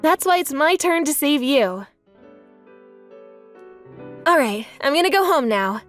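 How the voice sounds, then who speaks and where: A woman's voice: high-pitched, sing-song, unhurried, soft background music. A woman playing a kind, grateful young woman, an old school friend, in a manga dub. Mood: tender, grateful.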